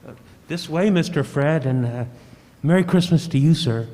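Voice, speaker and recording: An actor playing a meek, polite clerk who stammers and hesitates deferentially in a 1940s style radio play. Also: male voice, a little high, normal speed, a little mellow, lightly acted. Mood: shy, grateful. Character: timid, meek, polite clerk, humble and nervous. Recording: live performance in a reverberant hall, distant.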